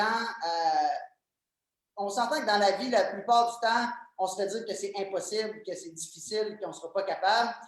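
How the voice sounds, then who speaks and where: A young man in his twenties with a Québécois French accent, chatting as an interview guest, enthusiastic and joking, with many fillers and repeated words. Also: high voice. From Quebec, Canada. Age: 20s. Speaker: guest, MLM coach. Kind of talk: casual interview chat. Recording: very echoey room.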